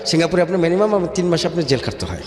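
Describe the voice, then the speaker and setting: A man's voice: on the high side, big pitch swings, racing, a little mellow. A man with a Bangladeshi accent recounts his life story in an interview, dropping in English words and checking that the listener follows. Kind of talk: personal storytelling in a radio interview. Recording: echoey reverberant room with music behind. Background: background music.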